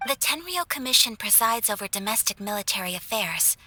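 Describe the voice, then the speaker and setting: A woman acting a composed, earnest young noblewoman in an English video game dub, polite, deliberate and articulate. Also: mid-range voice, big pitch swings, background noise, close to an everyday voice. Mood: serious.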